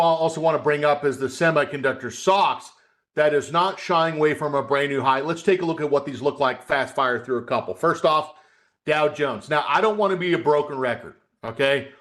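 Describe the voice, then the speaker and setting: A man hosting a live trading webcast as a coach, talking through market charts in a conversational, folksy way, addressing viewers directly and often checking in with a short tag question. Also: on the high side. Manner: conversational, repeats "okay?" as a check.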